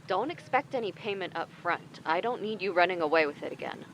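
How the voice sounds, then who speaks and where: A woman's voice: fairly low pitch, animated, fairly quick, background noise, natural voice. A woman acting as a menacing underworld dealer in an audio drama, dry, businesslike and disdainful.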